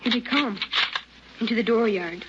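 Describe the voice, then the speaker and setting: An actor playing an anxious, excitable young prospector's son in a sci-fi radio drama. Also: mid-range voice, normal speed, lightly acted. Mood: nervous. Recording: muffled, noisy old radio recording.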